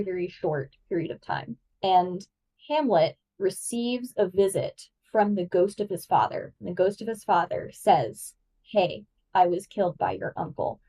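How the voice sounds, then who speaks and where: Chatty woman podcaster, distant mic. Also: mid-range voice. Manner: fast, filler-heavy, excitable. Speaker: podcast co-host. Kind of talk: enthusiastic explaining in casual chat.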